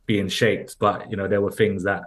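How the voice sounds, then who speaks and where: A man with a London accent speaks as a podcast guest, his voice sitting very low. He talks loosely, circling back and restarting his sentences with plenty of "like" and "you know.". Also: little pitch movement, normal speed, breathy edge. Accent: London English. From London, England. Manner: rambling, many restarts and "like"s. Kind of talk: casual interview conversation.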